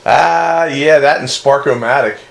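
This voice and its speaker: A man's voice: mid-range voice, measured pace. A man chatting casually as a radio hobbyist. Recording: clearly noisy radio-chat recording.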